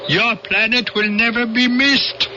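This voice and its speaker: An actor playing a stern, proud alien visitor in an old-time radio drama, speaking in a formal, pompous way. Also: male voice, very high-pitched, steady tone. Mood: menacing. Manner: formal, pompous, deliberate speech. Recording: dull, noisy old radio recording.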